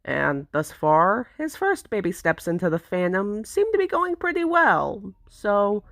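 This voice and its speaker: A woman's voice: mid-range voice, big pitch swings, measured pace, no echo, clean studio sound. A woman video creator giving a semi-scripted, explanatory vlog narration.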